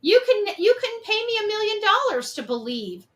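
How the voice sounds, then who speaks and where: A woman with a high, forceful, bright voice speaks off the cuff as an opinionated commentator. Her delivery is emphatic and accusatory, and she repeats phrases to drive her points home. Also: very animated, moderate pace. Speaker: online commentator on a case. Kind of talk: opinionated unscripted monologue.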